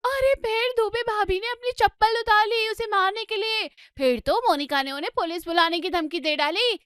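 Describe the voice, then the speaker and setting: A woman acts out an excitable middle-aged housewife in a comedy drama. Her voice is very high and dry. She gossips with relish, nags and scolds in a dramatic, exclamatory way. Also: moderate pace, dry recording. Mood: indignant. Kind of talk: Hindi comedy story dramatisation.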